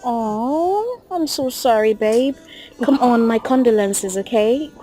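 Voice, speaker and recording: An actress with a Nigerian accent playing an anxious, doting wife in a screen drama. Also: female voice, very high voice, very animated, normal speed, a bit bright, exaggerated voice. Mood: soothing, concerned. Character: anxious, doting wife comforting her crying husband. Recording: music under the dialogue.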